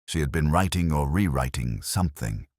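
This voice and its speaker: A middle-aged man narrating a scripted first-person detective story in a measured, brooding, literary manner. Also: extremely low pitch, expressive, darkish tone, breathy edge, dry recording, studio quality.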